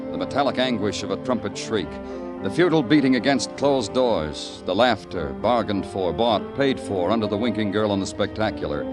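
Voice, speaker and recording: An actor playing a world-weary police detective, narrating in a brooding, hard-boiled 1950s radio noir style. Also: male voice, on the low side, lightly acted. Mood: dramatic, brooding. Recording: old radio recording with loud music.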